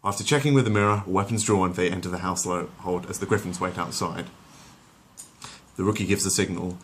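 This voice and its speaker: A man's voice: sits low, fairly even intonation, brisk, a little hiss or hum. A man narrating a story as its host, dramatic in the telling and casual in his asides.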